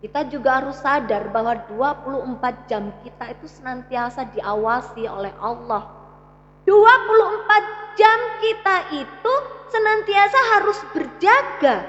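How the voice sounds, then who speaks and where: A woman's voice: sits high, animated, measured pace, fairly bright tone, faint music behind. A woman with an East Javanese Indonesian accent giving a religious parenting talk, teaching emphatically and addressing parents directly with rhetorical questions. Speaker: Islamic parenting speaker. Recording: echoey hall, slightly muffled sound.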